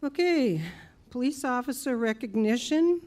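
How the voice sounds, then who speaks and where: A woman's voice: high-pitched, expressive, a little slow, darkish tone. Woman chairing a council meeting. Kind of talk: running a public meeting. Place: council chamber.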